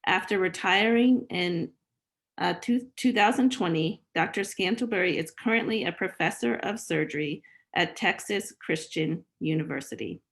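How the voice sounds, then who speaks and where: A woman host reads a formal introduction of a guest speaker from notes. Her voice sits a little low, and she stumbles slightly now and then as she reads. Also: moderate pace, gravelly.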